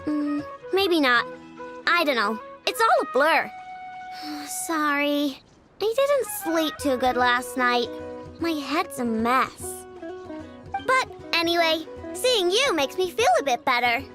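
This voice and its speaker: A woman voicing a feisty young girl mechanic in a video game, informal and expressive. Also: high voice, slow, exaggerated voice. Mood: tired, flustered. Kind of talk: animated video game dialogue. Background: music.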